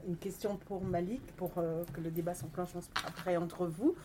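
A woman's voice: lowish voice, fairly even intonation, normal speed, background noise. A woman moderating a panel, introducing a guest and asking him a question with some fillers and restarts.

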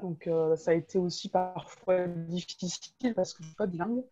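A woman's voice: on the low side, normal speed, dark tone, highs cut off. A woman speaking as a podcast guest, telling her own story casually in a chatty way, often searching for her words. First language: French. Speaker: podcast guest, web entrepreneur. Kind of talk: casual interview, personal storytelling.

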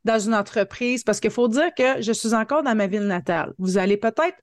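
A woman's voice: on the high side, fairly quick, no room sound, studio quality. A woman in her thirties with a Franco-Ontarian French accent, telling her story casually and colloquially as a guest, dropping in English words. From northern Ontario, Canada. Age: 30s. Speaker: podcast guest, marketing coach.

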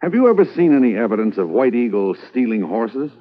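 An actor playing a brisk, patient Mountie sergeant who questions in a crisp manner, in a 1950s radio drama. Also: male voice, mid-range voice, big pitch swings. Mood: businesslike. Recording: tinny lo-fi old radio broadcast with hiss.

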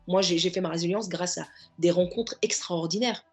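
A woman's voice: fairly low pitch, moderate pace, faint music behind. A woman therapist and coach, a podcast guest, speaking earnestly in reflective interview talk and often circling back to find her words. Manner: earnest, searching for words, stacking restarts.

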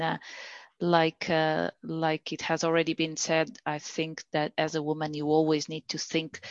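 A woman whose first language is not English, speaking as a panelist in a measured, reflective way with frequent pauses. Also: low voice, no echo, highs cut off. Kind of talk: panel discussion.

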